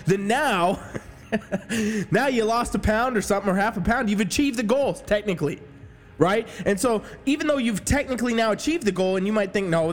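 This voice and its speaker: Brash high-voiced fitness coach ranting. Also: male voice, big-room sound. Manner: brash, swearing, hype-man delivery. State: sniffly from allergies. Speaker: fitness coach, podcast host. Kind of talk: profane motivational podcast rant.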